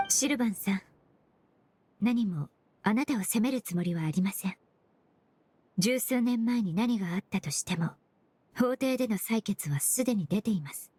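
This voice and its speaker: A woman's voice: medium pitch, animated, moderate pace, pauses often, mellow, slight background noise, lightly acted. An actress in a Japanese game dub playing a composed, analytical young woman investigator who speaks politely and methodically. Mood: coolly probing.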